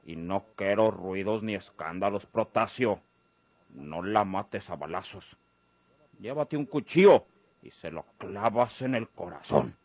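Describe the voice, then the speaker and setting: An actor playing a blunt, menacing crime boss with a rural Mexican accent in a radio drama. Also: male voice, fairly low pitch, expressive, unhurried, a little mellow, no echo. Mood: cold, menacing.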